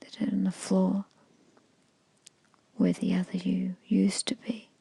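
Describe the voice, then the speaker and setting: A woman guides a meditation in an extremely breathy, hushed whisper with a creaky grain to it. She speaks slowly and soothingly, like an ASMR hypnosis storyteller. The sound is close to the microphone and very dry. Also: medium pitch, level tone, no echo.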